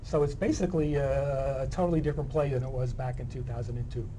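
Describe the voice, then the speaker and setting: A man answering interview questions as a playwright guest, conversational, with halting and hesitant phrasing. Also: mid-range voice, fairly even intonation, warm tone. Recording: faint background room noise.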